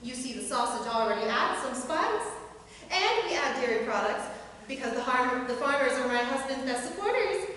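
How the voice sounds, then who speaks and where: A woman playing a chirpy, flirty TV cooking-show hostess in a comic stage monologue, coy and theatrical with drawn-out emphasis. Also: high voice, animated, fairly bright tone. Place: indoor stage before an audience. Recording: very echoey hall, distant mic, faint noise.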